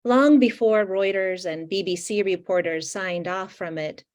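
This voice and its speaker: A middle-aged woman from Ukraine whose first language is Russian, reading her poems slowly and deliberately over a video call. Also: medium pitch, a little mellow. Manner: slow, deliberate reading with weighted pauses. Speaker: poet. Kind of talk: poetry reading. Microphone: video call microphone.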